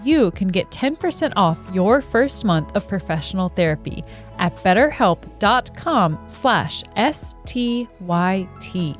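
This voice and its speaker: A woman's voice: medium pitch, lively intonation, slow pace, soft background music. Woman reading a sponsor spot. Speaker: ad reader. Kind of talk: scripted sponsor read.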